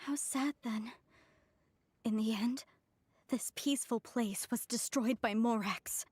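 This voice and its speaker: An actress playing an earnest, thoughtful young woman investigating ruins, speaking deliberately in a video game. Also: on the high side, a little mellow, breathy edge, no room sound, clean studio sound. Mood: sorrowful.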